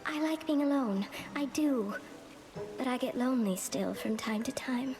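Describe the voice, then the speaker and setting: A woman voicing a lonely, guarded recluse in a video game, gentle, self-deprecating and hesitant. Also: sits high, normal speed, music in the background. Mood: wistful, tender. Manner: hesitant, wistful.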